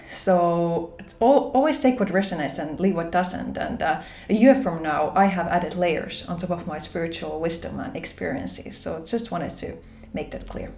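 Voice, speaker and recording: A woman with a Finnish accent, speaking English as a second language, shares gentle reflections on a spiritual journey in a soft, pausing manner. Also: fairly low pitch. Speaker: spiritual vlogger. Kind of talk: gentle reflective monologue to camera. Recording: roomy echo with soft background music.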